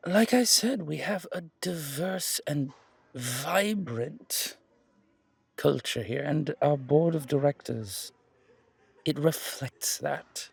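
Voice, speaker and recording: A charming male museum guide from a supernatural town, acted by a man, with a gravelly, husky voice. He chats in a genteel, flirtatious way, full of little asides and emphatic repeats, in a close, dry ASMR roleplay recording. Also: on the high side, takes it slowly, no room sound, heavily acted. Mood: chatty, informative. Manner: chatty, hesitant asides, emphatic repetitions, genteel. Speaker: character performer in an audio roleplay. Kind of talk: intimate ASMR roleplay monologue, conversational.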